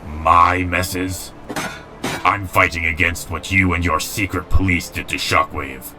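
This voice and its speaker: An actor playing a weary, principled robot rebel leader in a comic dub, speaking gravely and formally. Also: male voice, low-pitched, fairly even intonation, unhurried. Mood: indignant. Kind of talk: dramatic comic dub acting.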